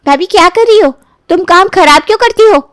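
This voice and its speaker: A cheeky young sister-in-law, put on by a woman narrator, needles and mocks. The voice sits high and spiteful, in a cartoon family-drama style with a dry recording. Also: lively intonation, brisk, no echo. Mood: accusing, taunting. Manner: mocking, needling.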